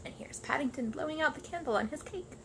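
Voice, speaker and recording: A woman reading a children's story aloud in animated storybook narration. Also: sits high, quick pace, creaky, slight background noise, poor audio.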